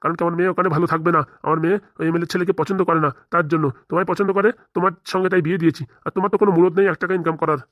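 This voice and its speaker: A man's voice: high-pitched, fairly even intonation, racing, few pauses, no echo, dull audio, lightly acted. A man playing a stern, protective middle-aged father-in-law who lectures insistently in a comic cartoon. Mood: scolding. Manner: insistent, lecturing, rhetorical questions. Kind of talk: comic cartoon drama dialogue.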